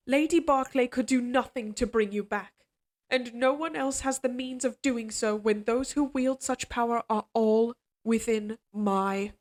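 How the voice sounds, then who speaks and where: A woman voicing an obsessively devoted, quietly menacing evil queen in an audio drama, speaking in a formal, measured, imperious way. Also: fairly high pitch. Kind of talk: scripted dramatic audio roleplay.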